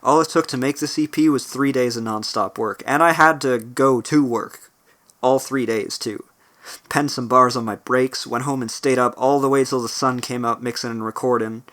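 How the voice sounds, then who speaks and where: A young-sounding independent rapper, a man with a slightly gravelly strained voice, talking earnestly and rambling with restarts on a cheap home mic. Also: mid-range voice, steady tone, normal speed, a bit bright. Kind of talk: spoken outro over a beat. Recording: spoken over clear background music. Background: guitar music bed.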